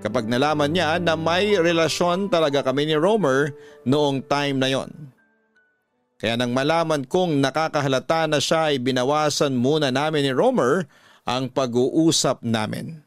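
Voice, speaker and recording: A man's voice: a little high, moderate pace, no echo. Male host-narrator for radio drama letters, scripted storytelling, dry close sound, music bed. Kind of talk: first-person scripted narration of a listener's letter.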